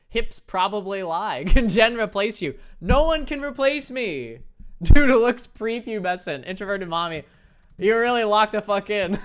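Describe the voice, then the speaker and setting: A young man with a light, high-pitched voice, chatting casually and playfully with his viewers while he plays a game, laughing often and joking at his own expense. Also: fairly bright tone. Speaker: streamer. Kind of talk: casual chat reading viewer messages while gaming.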